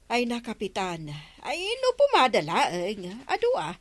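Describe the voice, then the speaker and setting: A woman's voice: medium pitch, huge pitch swings, a little slow. A woman with an Ilocano Filipino accent playing a no-nonsense village councillor who runs a grocery, in radio comedy style. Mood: proud, brisk. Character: brisk, no-nonsense female village councillor running a grocery store. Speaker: radio drama actress. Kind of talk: broad radio comedy acting.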